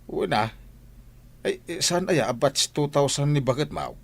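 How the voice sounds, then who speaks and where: A man's voice: on the high side, big pitch swings, measured pace, vocal fry, full character voice. An actor playing a man explaining family matters in an audio drama. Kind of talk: Ilocano audio drama acting.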